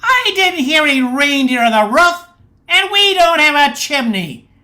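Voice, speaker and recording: An eager little boy played by a woman, high and bright, swooping with excitement. He has the bold streak of a pint-sized cop in an amateur radio play. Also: measured pace, heavily acted. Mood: suspicious, bold.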